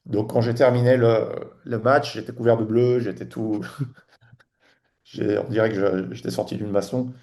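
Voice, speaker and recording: Podcast guest, man in his forties from Tunisia; mellow, halting delivery full of fillers. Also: fairly low pitch, steady tone. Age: 40s. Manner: halting, many restarts and fillers. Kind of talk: casual interview chat.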